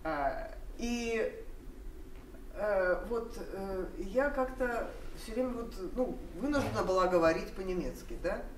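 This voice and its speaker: A woman's voice: mid-range voice, lively intonation, takes it slowly. An older woman telling a personal family story at a seminar, rambling with many hesitations and restarts. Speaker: seminar participant sharing memories. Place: seminar room, standing among seated audience. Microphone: far camera. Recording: echoey room, distant mic, noise.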